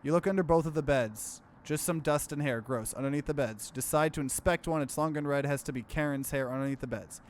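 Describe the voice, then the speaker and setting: A man's voice: fairly high pitch, a little fast, few pauses, slight background noise. A man hosting a let's play, casually commenting and reading game text aloud with expression.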